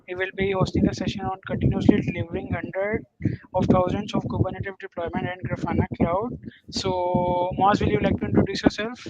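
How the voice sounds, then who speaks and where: A man's voice: high voice, level tone, a little fast. A man hosting an online event, briefly welcoming the audience and introducing the speaker. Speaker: event host. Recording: dull lo-fi line with loud noise.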